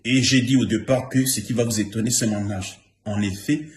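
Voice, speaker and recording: A man's voice: fairly low pitch, steady tone, moderate pace. A man in his fifties giving an informal, emphatic spoken testimonial, repeating himself for stress. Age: 50s. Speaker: former trainee giving testimonial. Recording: roomy echo, faint noise and clicks.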